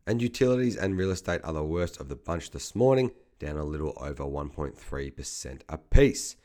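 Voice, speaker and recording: Casting note: male market commentator, low voice, slight croak, brisk figure-heavy read. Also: very animated, warm tone. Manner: brisk, businesslike delivery of figures. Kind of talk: scripted market update.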